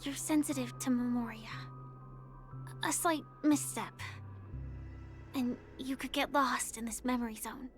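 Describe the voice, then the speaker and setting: A gentle young woman from a video game who carries a secret. Her voice is high and soft, with breathy edges. She speaks slowly and earnestly over faint music. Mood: gentle warning.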